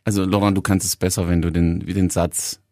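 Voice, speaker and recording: A man's voice: sits low, little pitch movement, fairly quick, darkish tone, no echo, studio quality. A man co-hosting a podcast in a casual, conversational style. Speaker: podcast co-host.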